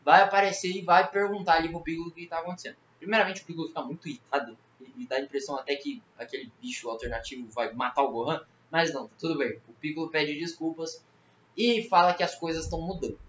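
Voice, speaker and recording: A man's voice: on the high side, steady tone, quick pace, a bit bright. A man with a Brazilian Portuguese accent telling a story as a casual, slangy recap. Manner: colloquial, slangy. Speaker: video creator recapping a fan horror series. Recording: roomy echo, muffled, faint noise.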